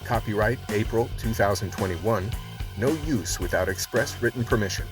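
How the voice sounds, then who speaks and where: A man's voice: on the low side, moderate pace, over music, rough recording. A man reading scripted closing credits as an announcer.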